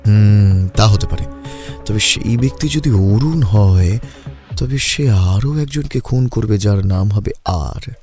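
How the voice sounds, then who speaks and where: A man acts out an attentive junior partner in a detective audio drama. His voice is fairly low and very mellow, obliging and inquisitive as he reasons things through. Faint music sits underneath. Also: animated, slow pace. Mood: reasoning. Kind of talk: Bengali audio detective story acting.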